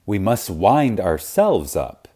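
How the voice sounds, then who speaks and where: Deep-voiced, slow, reverent male narrator. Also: very animated, warm tone. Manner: reverent, emphatic, with deliberate dramatic pauses. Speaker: audiobook narrator. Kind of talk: scripted audiobook reading of a spiritual teaching.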